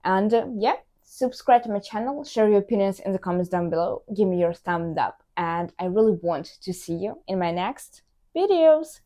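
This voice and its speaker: A woman's voice: mid-range voice, animated, moderate pace, dark tone. A woman vlogger sharing language-learning tips straight to camera, upbeat and encouraging, with slight non-native grammar slips. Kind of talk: direct-to-camera tips vlog.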